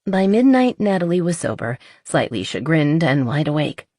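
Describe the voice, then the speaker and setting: A woman's voice: deep voice, sing-song, moderate pace, warm tone, no echo, studio quality. A woman reading a romance novel aloud as a scripted audiobook narration.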